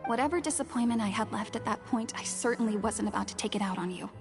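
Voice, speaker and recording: A woman's voice: a little high, quick pace, natural voice. A woman voicing an earnest, modest young actress in a video game, with a sincere, polite delivery. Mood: earnest, subdued. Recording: long reverb, music behind.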